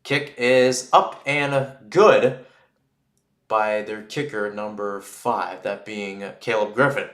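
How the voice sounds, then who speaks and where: A man's voice: fairly low pitch, slow. Man calling football, roomy sound. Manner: rambling and explanatory between plays, excited on big plays. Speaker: play-by-play commentator. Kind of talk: live American football radio-style commentary.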